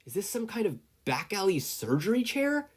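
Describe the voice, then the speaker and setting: A man's voice: high-pitched, big pitch swings, moderate pace, husky, voice pushed up, heavily acted. A man voicing a desperate middle-aged retired hero in an urgent, indignant manner, reading a story aloud. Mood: panicked.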